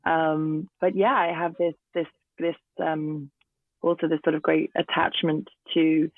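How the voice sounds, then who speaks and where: Guest actor, English woman, late 30s, hoarse and gravelly, hesitant; dry, tinny remote call audio. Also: low-pitched, little pitch movement, measured pace, no echo. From England. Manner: hesitant, many ums and restarts. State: hoarse from illness. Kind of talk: casual interview chat. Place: garden shed at home. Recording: tinny phone-like remote line.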